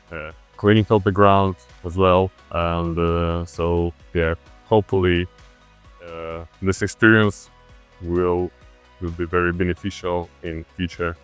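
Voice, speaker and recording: A man, a master's student from a clinical background, speaking slowly and hesitantly. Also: low-pitched, clean tone. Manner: slow, hesitant.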